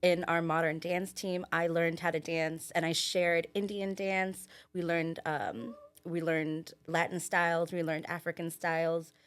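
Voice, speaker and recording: A young adult woman, a former student and podcast guest, telling stories in an upbeat, warm way. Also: lowish voice, little pitch movement, measured pace, hardly any pauses, smooth. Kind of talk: casual podcast chat.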